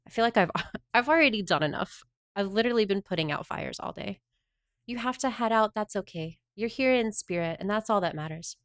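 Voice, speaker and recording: A woman's voice: mid-range voice, animated, fairly quick, a bit bright, clean tone, dry recording, clean studio sound. A woman from the Southern United States chatting casually on a livestream, rambling and answering her viewers' chat messages.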